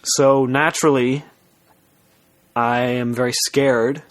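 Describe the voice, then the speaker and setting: A young man co-hosting a podcast, telling a story to friends in a halting, self-interrupting way with casual swearing. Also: mid-range voice, little pitch movement.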